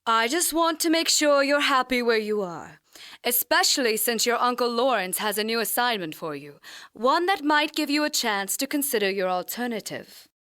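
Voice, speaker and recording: A woman playing a gentle, concerned grandmother, polite and reassuring, in an audio drama. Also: a little high, animated, moderate pace, smooth, no room sound, clean studio sound.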